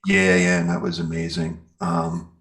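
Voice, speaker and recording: A man's voice: sits low, monotone, moderate pace, crowd noise. An American man telling stories as an interview guest in a casual, rambling way peppered with "you know" and "like". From Pennsylvania, United States. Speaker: interview guest, metal band singer and guitarist.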